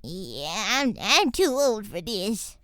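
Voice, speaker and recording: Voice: mid-range voice, very animated, takes it slowly, sharp tone, no echo, clean studio sound, pitched above the performer's own voice, full character voice. A performer voicing a cranky old farm granny pony with a Southern US accent, grumbling and folksy. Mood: weary grumbling.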